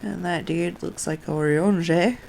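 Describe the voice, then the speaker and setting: A woman's voice: lowish voice, slow pace, vocal fry, darkish tone, a little hiss or hum, rough recording. A woman giving casual live commentary as she streams a game.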